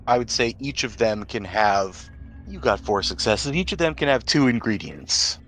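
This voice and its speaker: A man narrating a tabletop roleplaying game as game master, describing scenes conversationally with dramatic pauses. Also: fairly low pitch, expressive, a bit bright, soft background music.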